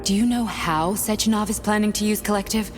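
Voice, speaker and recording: A woman's voice: mid-range voice, brisk, lightly acted. A woman acting an intense, defiant scientist in a video game, speaking with grave, persuasive emphasis. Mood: urgent persuasion. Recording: long hall reverb, music underneath.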